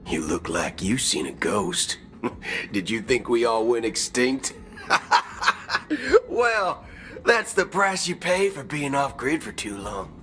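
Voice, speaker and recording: A folksy space cowboy from a video game, all swagger and playful threat. He talks in a bright, slow Western American drawl that swings widely in pitch. Faint music plays behind him. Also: big pitch swings. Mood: teasing swagger. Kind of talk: video game cutscene acting. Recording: background music.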